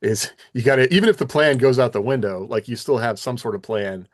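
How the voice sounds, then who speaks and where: A man's voice: mid-range voice, fairly quick, no echo, clean studio sound. A man hosting a podcast in casual discussion, talking conversationally as he explains and asks questions. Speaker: podcast host.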